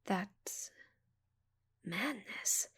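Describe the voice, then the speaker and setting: A woman voicing a haughty, flustered giant female alien in an ASMR roleplay, breathy and whispery, with a prim, halting delivery. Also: mid-range voice, expressive, fairly bright tone, heavily acted. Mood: flustered, scandalized.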